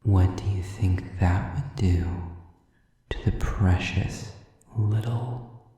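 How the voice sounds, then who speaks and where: A very dark, velvety voice of a cruel demon, acted out by a man, drips out at a crawl. He whispers with cold, possessive menace, savoring each taunt. It is an intimate roleplay, yet the sound is set back from the mic. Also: deep voice, heavy reverb, far from the mic. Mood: taunting threat. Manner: slow, deliberate, breathy whispered menace, taunting. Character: sadistic male demon, cold, menacing, mockingly possessive predator. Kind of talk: intimate audio roleplay acting.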